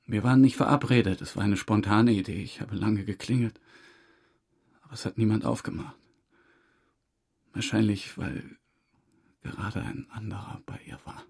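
An actor playing a defensive, wounded murder suspect in a crime drama, halting, self-pitying and insistent on his honesty. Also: on the low side, gravelly, darkish tone, husky. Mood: halting, dejected. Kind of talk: German crime radio drama dialogue.

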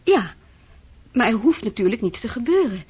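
An actress playing an earnest, thoughtful young female university student in a radio drama, hesitant and gently frank. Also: a little high, sing-song, moderate pace, husky, heavily acted. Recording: muffled old radio recording.

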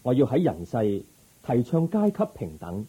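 A man playing a calm, resolute young prince turned monk in a radio drama, speaking in a measured, gentle, formal way. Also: mid-range voice, breathy edge, full character voice, low-quality recording. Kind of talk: Cantonese Buddhist radio drama acting.

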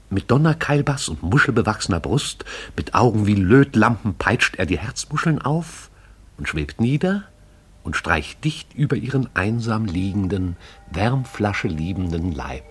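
Deep, husky, hushed male narrator. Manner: hushed, incantatory, intense. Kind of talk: poetic scripted radio play narration.

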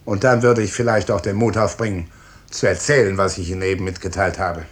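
An actor playing a stern, brooding, guilt-ridden professor and clinic chief in a 1950s German radio drama, speaking with emphatic authority. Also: male voice, low-pitched, level tone, fairly quick, natural voice. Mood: quiet threat. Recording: early 1950s radio studio recording.

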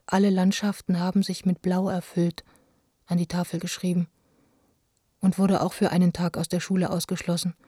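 A woman's voice: mid-range voice, dark tone, no echo, clean studio sound, lightly acted. An actress playing a frustrated, defiant young theatre director who reads her letters as a subdued, confiding radio-play monologue.

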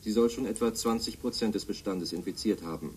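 Actor as low-voiced, formal newsreader. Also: male voice, a little mellow, slight background noise, close to an everyday voice. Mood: formal, sober. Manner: formal, measured. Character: formal, sober radio newsreader. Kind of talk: scripted news bulletin.

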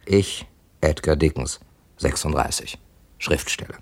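An actor playing a composed, discreet writer in his thirties in a 1970s radio crime drama, speaking in a measured, reassuring way. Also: very deep voice. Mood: matter-of-fact narration. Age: 30s. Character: composed, discreet male writer, thirty-six, narrator and confidant.

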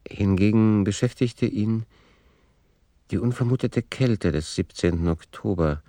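An actor playing a sober, matter-of-fact narrator of a radio play, reporting in measured, precise like someone reading out a case file. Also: male voice, on the low side, warm tone, no echo, studio quality, natural voice. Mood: detached, factual.

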